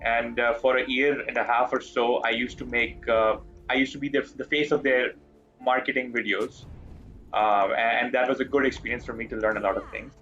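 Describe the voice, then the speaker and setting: Man, lower-pitched voice, game streamer, candid, informal, reflective chat, music in the background. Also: fairly even intonation, a little fast, fairly bright tone, lo-fi sound. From Pakistan. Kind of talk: casual live-stream chat during gaming.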